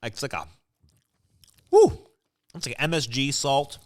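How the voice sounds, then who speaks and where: A man's voice: medium pitch, wildly swooping pitch, a little slow, a little mellow. A man co-hosting a podcast in casual snack-tasting chat, informal and given to repeating short phrases. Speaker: podcast co-host.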